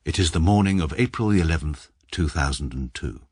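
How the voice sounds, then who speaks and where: A man's voice: a bass voice, expressive, a little mellow, slight background noise. An English actor playing a precise, dry, middle-aged male barrister who narrates his own story in a radio drama. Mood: measured. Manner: measured, dry and literal.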